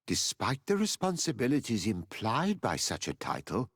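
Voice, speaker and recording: An actor playing an older male mentor narrating a video game epilogue in a measured, expressive storytelling manner. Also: mid-range voice, breathy edge, no room sound, clean studio sound, heavily acted. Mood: measured, reflective.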